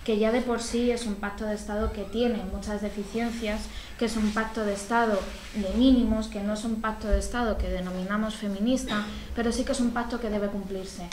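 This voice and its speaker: A woman in her thirties with a Madrid Spanish accent, giving a measured, formal press conference statement from a table mic. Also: mid-range voice, steady tone, a little mellow. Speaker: municipal party spokesperson. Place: small room in front of a party banner. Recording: echoey room, faint noise.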